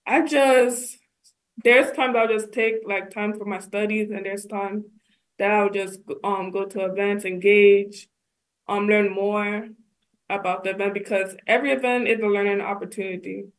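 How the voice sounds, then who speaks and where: A woman's voice: medium pitch, fairly even intonation, moderate pace, rough recording. Plain-spoken young woman answering questions. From United States. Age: young adult. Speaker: college student, guest. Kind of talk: casual interview answers.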